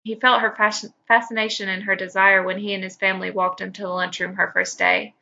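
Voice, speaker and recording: A woman podcast host speaks at a middling pitch. Her voice is bright and sharp, though the recording is a little dull and lo-fi. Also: little pitch movement, fairly quick, gravelly. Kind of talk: casual banter.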